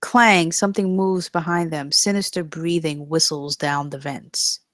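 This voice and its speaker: A woman's voice: deep voice, steady tone, unhurried, heavy background noise. A woman narrating stage directions at a scripted table read.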